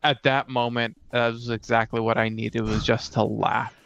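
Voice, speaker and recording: Gravelly, husky man in casual conversation. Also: medium pitch, level tone, normal speed, a bit bright, no room sound. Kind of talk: casual podcast discussion. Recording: slightly muffled, dry, faint music behind.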